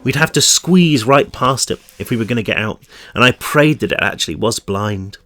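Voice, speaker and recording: A man with a croaky, fading voice reading his own novel aloud in a steady storytelling manner, with chatty asides. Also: medium pitch, normal speed. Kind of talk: audiobook-style read-through.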